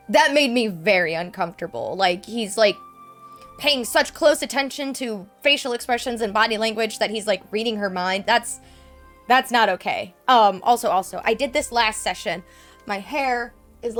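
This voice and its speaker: A woman's voice: on the high side, fairly bright tone. A woman with a congested, stuffy voice from a cold, giving rambling, casual commentary while playing a video game. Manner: chatty, rambling, lots of fillers. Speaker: let's play streamer.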